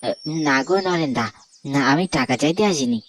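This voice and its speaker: A man's voice: medium pitch, a little fast, a bit bright, slight background noise, natural voice. A man voicing a wronged young man in a Bengali horror cartoon, insistent and aggrieved in manner. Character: wronged young man, murdered, now a vengeful restless pond ghost.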